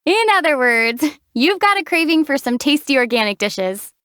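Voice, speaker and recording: A young woman voicing a calm, dry-witted heroine in a game, teasing her companion in a deadpan way. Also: high-pitched, normal speed, no echo. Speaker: player character, traveler heroine. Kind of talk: game voice acting.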